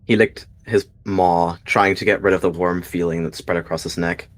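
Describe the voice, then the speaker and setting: A man's voice: sits low, fairly even intonation, normal speed. A man narrating a dramatic roleplay story as a storyteller.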